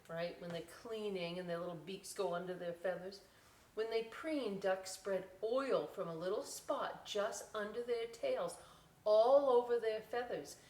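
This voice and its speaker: Slow-paced woman reading to children, roomy echo. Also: mid-range voice, a little mellow. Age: middle-aged. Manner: folksy, chatty, explains words simply for young children. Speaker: library storytime host reading aloud. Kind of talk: children's picture-book read-aloud with casual asides. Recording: roomy echo, distant microphone.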